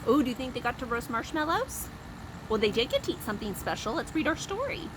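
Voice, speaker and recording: Lady reading a story to little kids, super expressive swoopy high voice, lots of noise behind her. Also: fast. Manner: expressive, simple explanations aimed at small children. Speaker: children's Bible story time host. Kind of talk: storytelling to young children with questions and asides.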